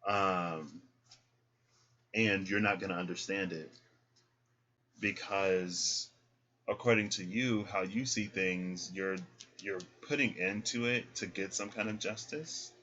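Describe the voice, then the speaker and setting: A man giving a casual spiritual tarot reading, explaining each card in a relaxed way and often tagging "right?" onto his points. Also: sits low, slow, pauses often. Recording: dull lo-fi sound.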